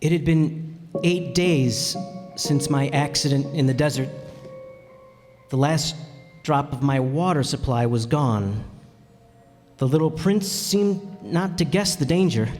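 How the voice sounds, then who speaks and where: A man playing an earnest, measured stranded pilot in a radio play. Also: medium pitch, a little mellow, natural voice. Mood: somber, narrating. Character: stranded pilot, concerned, gentle adult narrator. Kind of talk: radio play acting and narration. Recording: echoey hall, music behind.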